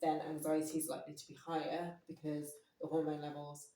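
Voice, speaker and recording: A low-pitched woman, throaty from a cold, talking through a topic in a relaxed podcast chat. She fills pauses with ums and laughs easily. The sound is roomy and echoey, with her voice set back from the mic. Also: recorded from a distance. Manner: chatty, explaining with frequent ums and laughs. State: hoarse from a cold. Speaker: podcast co-host. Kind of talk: casual chat.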